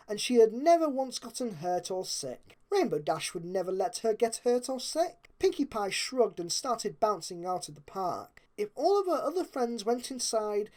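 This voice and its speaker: A woman's voice: medium pitch, a little mellow. A woman narrating a story expressively, as if reading a storybook aloud.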